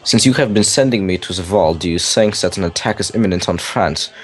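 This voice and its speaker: Man playing a low-voiced loyal German radio interviewer. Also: brisk, slight background noise, lightly acted. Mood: formal, deferential. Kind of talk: staged 1940s radio interview.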